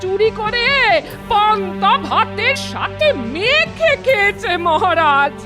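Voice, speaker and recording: A shrill old cartoon granny. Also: female voice, expressive, takes it slowly, over music, exaggerated voice. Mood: pleading. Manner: wailing, pleading, spiteful. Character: jealous old grandmother Monda, shrill and whiny. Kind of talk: cartoon voice acting.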